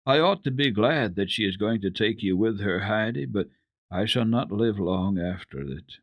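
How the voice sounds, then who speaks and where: A frail old grandmother voiced by a man, humble and pious. The delivery is heartfelt and sighing, with drawn-out words and wide swings of pitch in a dramatic storybook style. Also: expressive, no echo, dull audio. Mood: wistful.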